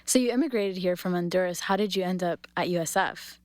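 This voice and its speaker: A woman's voice: on the low side, fairly quick, darkish tone, dry recording. Upbeat young woman podcast host. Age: young adult. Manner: upbeat, scripted intros. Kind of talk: podcast hosting.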